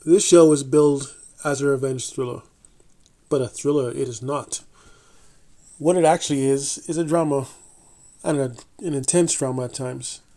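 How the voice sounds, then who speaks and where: Measured man reading a TV review. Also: medium pitch, steady tone, mellow. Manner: measured, deliberate delivery with occasional slips and restarts. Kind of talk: scripted review read aloud.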